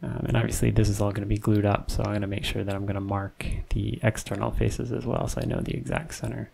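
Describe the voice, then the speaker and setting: A man with a low, gravelly voice talks through a woodworking project in a casual, meandering voice-over. His tone is dark and mellow, full of ums and small restarts. Faint clicks sit under the voice. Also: slight background noise. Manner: relaxed, unhurried, many ums and restarts. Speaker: woodworking channel host. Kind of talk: casual voice-over narration of a build. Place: home woodshop.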